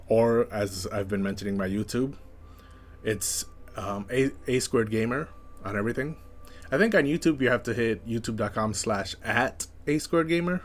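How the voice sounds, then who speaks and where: A young man with a slight lisp, chatting casually as a first-time streamer in a flat, monotone way with many fillers. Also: mid-range voice. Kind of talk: casual chat.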